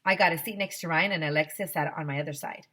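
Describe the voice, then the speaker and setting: An American woman, a teacher, reading a children's story aloud in an expressive way. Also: low voice, fast, husky. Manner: expressive, acting out dialogue.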